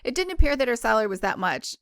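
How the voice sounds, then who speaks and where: A woman's voice: fairly high pitch, sing-song, rapid-fire, smooth, no echo, clean studio sound. A woman reading a first-person novel aloud in a casual, informal way, sometimes stumbling and correcting herself. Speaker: book club host reading a novel aloud.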